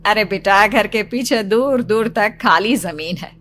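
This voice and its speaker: A woman voicing a caring, practical mother of a grown daughter in an animated story. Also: medium pitch, unhurried, slight background noise, lightly acted. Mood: caring, brisk.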